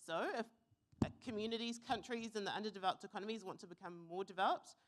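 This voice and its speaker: A young woman from New Zealand giving an earnest, reflective conference talk, pausing with fillers now and then. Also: mid-range voice. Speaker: conference speaker. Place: conference hall.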